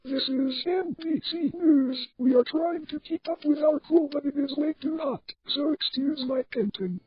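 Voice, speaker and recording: A high-pitched news anchor voiced by a performer delivers scripted breaking news in a fictional broadcast. The sound is dull and lo-fi, with very little room around the voice. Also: measured pace, no room sound. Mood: overheated, distressed. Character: TV news anchor reporting a deadly heat wave.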